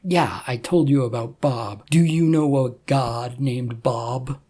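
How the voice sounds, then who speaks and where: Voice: medium pitch, slow, warm tone, husky. A narrator voicing an anxious, exasperated man with a hesitant, sarcastic manner in a story reading. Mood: insistent. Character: exasperated, anxious everyman, reluctant prophet of a god.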